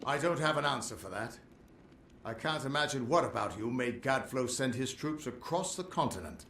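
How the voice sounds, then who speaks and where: Man playing a lively, hearty veteran adventurer. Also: mid-range voice, moderate pace, echoey room, natural voice. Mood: grave, informative. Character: boastful, loyal veteran adventurer seeking renewed glory. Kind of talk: fantasy game dialogue acting.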